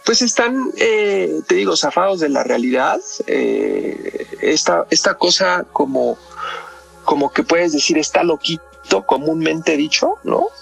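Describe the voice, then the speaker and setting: Man, Mexican accent, heavy vocal fry, conversational podcast explainer, frequent tag questions, faint music behind. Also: fairly high pitch, lively intonation, unhurried. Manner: constantly checks with tag questions. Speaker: psychologist guest.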